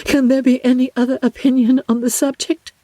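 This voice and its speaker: A woman voicing a gentle, trusting but anxious young gentlewoman in a soft, hesitant, earnest way for an audiobook. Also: high-pitched, flat delivery, brisk, no echo, voice pushed up, natural voice. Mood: anxious, hurt. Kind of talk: dramatic audiobook character reading.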